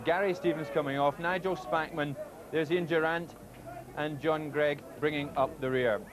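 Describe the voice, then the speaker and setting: A man presenting a live football broadcast at a stadium, linking segments and naming arriving players in a brisk, informative way. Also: a little high, steady tone. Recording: noisy broadcast with crowd behind.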